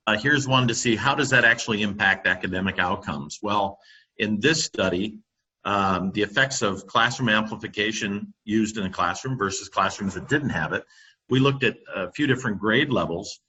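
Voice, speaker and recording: A man gives a presentation into his computer microphone, his voice low-pitched yet bright, with a breathy, husky edge. He pauses often with fillers and restarts his sentences. The sound is dull and lo-fi. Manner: halting, many fillers and restarts. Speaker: company presenter on classroom audio. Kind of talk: webinar presentation.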